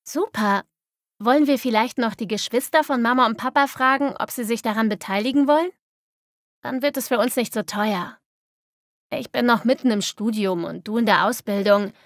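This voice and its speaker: A woman playing a sensible, caring young student in a scripted language-learning dialogue, with clear, tidy diction. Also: a little high, normal speed, no room sound, clean studio sound, lightly acted. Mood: approving, thoughtful. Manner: clear, tidy, textbook delivery.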